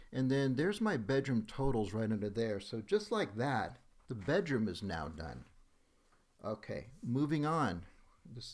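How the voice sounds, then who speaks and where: Man narrating a step-by-step software demo. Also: medium pitch. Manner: instructional, with frequent okay check-ins. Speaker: software demonstrator. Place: voice-over on a screen recording.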